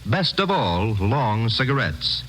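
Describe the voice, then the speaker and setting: Lively male vintage radio ad announcer. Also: lowish voice, slow pace. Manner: emphatic, salesy. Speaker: commercial announcer. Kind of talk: 1950s radio advertising read, scripted. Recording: faintly noisy vintage radio recording.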